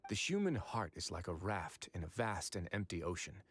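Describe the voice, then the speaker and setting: A man voicing a composed, calculating male warden in a game who speaks in a measured, reflective way. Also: low voice, sing-song, slightly rough, no echo, heavily acted. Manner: measured, reflective, explains reasoning at length. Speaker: game character. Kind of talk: game voice acting. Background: soft game music.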